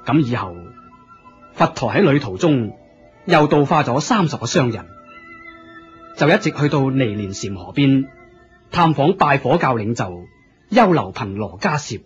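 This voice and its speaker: A man with a Hong Kong Cantonese accent narrating a scripted radio story as the drama's storyteller. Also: mid-range voice. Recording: muffled lo-fi radio recording.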